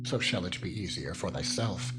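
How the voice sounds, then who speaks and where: A man reading scripture as a dramatized narration in a solemn, measured, deliberate manner. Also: deep voice, expressive. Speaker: Bible narrator. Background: background music with tuning-fork-like tones.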